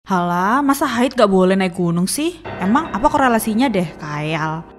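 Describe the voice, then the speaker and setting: A woman playing a snappy, sarcastic, easily offended college student with speech in an animated horror story. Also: mid-range voice, normal speed, soft background music, natural voice. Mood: defensive, annoyed. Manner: scoffing, confrontational.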